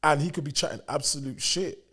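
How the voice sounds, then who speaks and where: A young man chats on a podcast in a loose, slangy way, circling back over his own words as he explains. His voice sits a touch higher than most men's. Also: fairly quick, slightly rough. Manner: slangy, animated, repeats himself. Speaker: podcast co-host, personal trainer. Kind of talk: casual chat.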